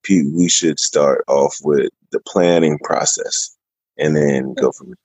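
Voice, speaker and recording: A man answering a podcast interviewer's questions in an easygoing, measured way, pausing often as he thinks. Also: a bass voice, darkish tone, breathy edge, no room sound, rough recording. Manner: easygoing, measured, with frequent pauses. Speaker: podcast guest.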